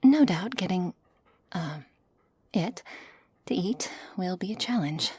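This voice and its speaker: A cold, menacing witch, played by a woman in an ASMR roleplay, slow and measured. Her voice is breathy and often whispery, a little low for a woman. She sounds clinical, strict and condescending, recorded close and dry. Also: animated, mellow, dry recording, exaggerated voice. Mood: gently doting. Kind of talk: ASMR roleplay, scripted acting to listener.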